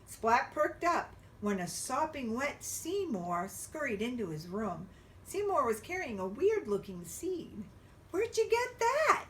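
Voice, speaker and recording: A woman reading a picture book aloud to young children, expressively and with drawn-out emphasis. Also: a little high, very animated, a bit bright, distant mic, slight background noise. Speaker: children's storybook reader.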